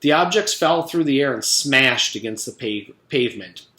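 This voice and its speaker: A man's voice: medium pitch, animated, normal speed, sharp tone, roomy sound. A man reading a children's storybook aloud like a teacher, expressively and speaking directly to young listeners.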